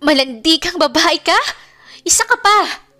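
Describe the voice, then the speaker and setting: A woman's voice: very high voice, expressive, unhurried, pushed and bright, faint music behind, pitched above the performer's own voice, full character voice. A woman narrator playing a jilted young woman who hurls tearful, wounded accusations in a melodramatic romance story, furious and heartbroken. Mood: furious, accusing.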